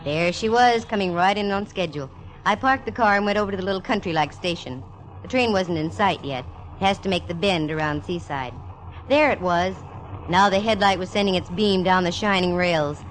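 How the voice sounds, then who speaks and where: A woman's voice: on the low side, fairly quick, lightly acted, low-quality recording. An actress playing a sassy, wisecracking female private eye, with wry, punchy delivery in 1940s radio style. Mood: wry narration. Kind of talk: 1940s radio detective acting and narration. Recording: vintage radio broadcast with music behind.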